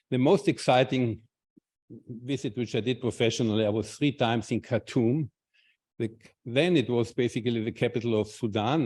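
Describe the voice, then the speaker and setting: Halting Austrian man giving a talk. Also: medium pitch, darkish tone. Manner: halting, many restarts and repeated words. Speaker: invited speaker, clinician researcher. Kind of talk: conference talk with slides.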